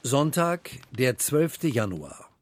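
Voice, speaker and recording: An actor playing a sober, detached announcer who gives terse scene narration in a radio drama. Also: male voice, medium pitch, very animated, takes it slowly.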